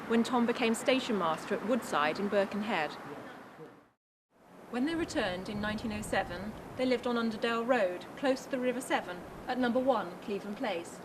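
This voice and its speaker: A woman presenting a local history tour as scripted narration, recorded outdoors in town streets. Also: a little high. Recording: outdoor location sound with clear traffic noise.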